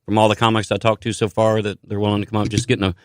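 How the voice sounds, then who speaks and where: Fast-talking, low-voiced guest man. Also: little pitch movement, no room sound, studio quality. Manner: rambling, halting with restarts. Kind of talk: casual chat.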